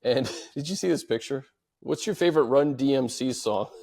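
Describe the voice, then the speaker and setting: A man's voice: mid-range voice, normal speed, creaky, darkish tone. A man hosting a sports talk show in a casual, conversational style, chatting and reading out fan questions.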